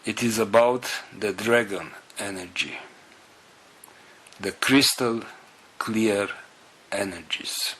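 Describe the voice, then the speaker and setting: Slow, bright-voiced man with non-native English accent. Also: lowish voice, breathy edge. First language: not English. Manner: solemn, halting delivery in short phrases. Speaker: spiritual group leader. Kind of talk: spiritual talk to followers.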